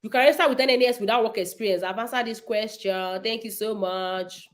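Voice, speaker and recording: A woman's voice: mid-range voice, fast talker. A woman, hosting a casual livestream and answering viewers' nursing questions in an emphatic, repetitive way.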